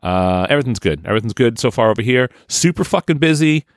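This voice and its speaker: A man in his thirties co-hosting a podcast, swearing freely and telling stories in a booming voice. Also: lively intonation, fast talker, no echo, clean studio sound. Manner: profane, loud storytelling, booming voice. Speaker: podcast co-host. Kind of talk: casual chat.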